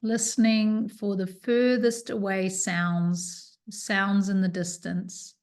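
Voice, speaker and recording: A woman teaching yoga nidra, leading a guided relaxation with soothing, unhurried instructions and pauses. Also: medium pitch, level tone, darkish tone.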